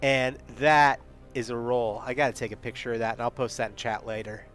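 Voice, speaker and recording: A man's voice: medium pitch, fairly even intonation, fairly quick, fairly bright tone, faint music behind. A man playing a tabletop game, chatty and thinking aloud as he rolls dice. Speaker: player. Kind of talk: casual game talk.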